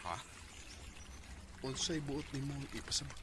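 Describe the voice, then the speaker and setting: A man's voice: mid-range voice, animated, unhurried, background noise, lightly acted. A man with a Cebuano accent acting a frustrated, hesitant husband in a Bisaya radio drama. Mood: uneasy. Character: frustrated, hesitant husband tempted by another woman.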